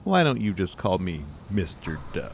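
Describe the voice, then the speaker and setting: A man's voice: low-pitched, very animated, normal speed, gravelly, a little hiss or hum, exaggerated voice. A man playing a mysterious, coolly evasive brainwashed special agent in a comedy-adventure podcast.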